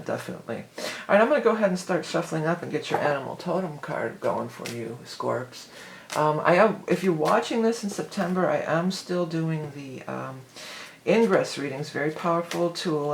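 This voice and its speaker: Woman, hoarse, gravelly, rambling tarot reader, echoey room. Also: sits low, lively intonation, brisk, a little hiss or hum. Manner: rambling, many fillers and restarts. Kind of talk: casual tarot reading.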